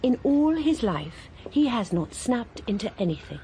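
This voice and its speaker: A woman's voice: a little high, a little hiss or hum. Woman narrating a radio drama. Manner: measured, even storytelling.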